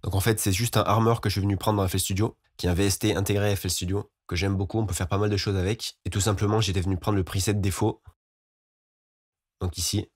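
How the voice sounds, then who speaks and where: A man giving an informal, explanatory software tutorial as a voice-over. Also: deep voice, little pitch movement, fast, slightly rough, no room sound, clean studio sound. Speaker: music production tutorial host.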